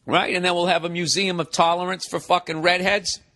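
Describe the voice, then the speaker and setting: High-voiced man in his forties, profane ranting. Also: little pitch movement, brisk, pushed and bright. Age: 40s. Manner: mocking, exasperated. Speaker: podcast host. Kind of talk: comedic podcast rant.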